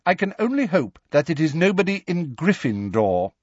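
A man's voice: fairly high pitch, very animated, moderate pace, darkish tone, no echo, lo-fi sound, pitched above the performer's own voice. A man voicing a stern, prim older female schoolteacher with a formal, clipped, admonishing manner in an audiobook.

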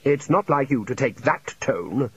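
A man voicing a brisk, blustery older head wizard in an audiobook, with a bluff, authoritative manner. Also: mid-range voice, lively intonation, fairly bright tone, husky, no echo, dull audio, exaggerated voice. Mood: dry, reproving.

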